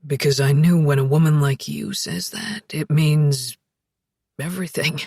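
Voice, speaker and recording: A woman voicing a tender, earnest young man who talks quietly. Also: low voice, fairly even intonation, a little slow, no echo, clean studio sound, pitched below the performer's own voice.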